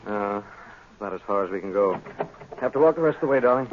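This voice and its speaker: A man's voice: lowish voice, animated, quick pace, slight background noise, natural voice. An actor playing an urbane, easygoing man-about-town detective in a 1940s radio drama. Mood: relaxed, alert. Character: suave, determined wealthy man-about-town amateur detective. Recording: muffled vintage 1940s radio recording.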